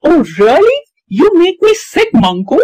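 A woman's voice: extremely high pitch, very animated, unhurried, darkish tone, no echo, exaggerated voice. A woman voicing a young, easily exasperated schoolboy with a complaining manner in a children's cartoon. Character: exasperated young schoolboy, whiny and excuse-making.

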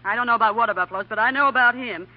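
An actress playing a sharp-tongued, independent star reporter in a 1940s radio comedy, sassy, wisecracking and dramatic. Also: female voice, on the high side, racing, lightly acted. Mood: defiant. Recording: dull 1940 radio broadcast.

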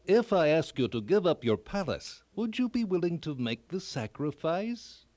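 Storybook elf, done by a man: old, small, sly but gentle, deliberate delivery, very animated pitch, dry close sound. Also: dry recording. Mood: solemn, testing. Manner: measured, deliberate, solemn questioning. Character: tiny old male elf, cunning, gently commanding. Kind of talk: children's fairy tale read aloud.

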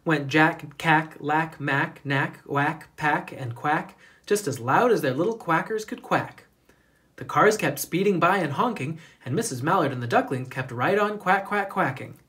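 A storybook reader narrating a children's picture book in a deliberate, expressive storytelling manner. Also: medium pitch.

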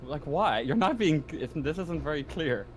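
Brash, animated male tabletop gamer. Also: on the high side, moderate pace, a little hiss or hum. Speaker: player in a tabletop roleplaying game. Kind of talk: casual in-game chat.

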